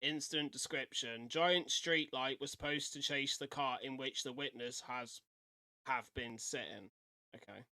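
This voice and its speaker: Bright-voiced man on a live stream. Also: mid-range voice, a little slow, no echo. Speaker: streamer reacting to horror videos. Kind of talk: casual live-stream reaction, reading aloud.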